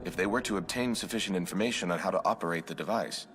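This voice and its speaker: Logical alien officer, game cutscene, fairly low voice, formal, precise, unemotional, rapid delivery, music behind. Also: male voice, a bit bright. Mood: composed. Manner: formal, measured, precise diction. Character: calm, logical alien science officer, adult male. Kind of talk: video game cutscene acting.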